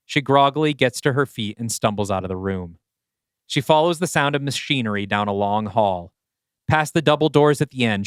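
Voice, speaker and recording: Low-pitched man narrating over music. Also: no room sound, studio quality. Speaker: narrator of an audio play. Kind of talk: scripted screenplay-style narration. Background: background music.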